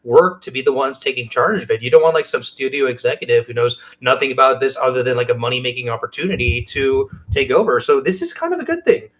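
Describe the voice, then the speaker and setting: A man's voice: medium pitch, animated, fast, hardly any pauses, fairly bright tone. A man co-hosting a podcast, talking casually with earnest, emphatic fan conviction. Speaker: podcast co-host. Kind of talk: casual chat.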